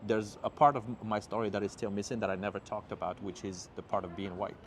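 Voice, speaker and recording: A rapper from Morocco, with a lowish voice, explains his song in an earnest, unhurried way during a relaxed interview. He talks outdoors in a city, with faint street sound behind him. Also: a little hiss or hum. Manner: earnest, explains at length, often agrees with "exactly". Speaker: rapper guest. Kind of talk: casual interview chat.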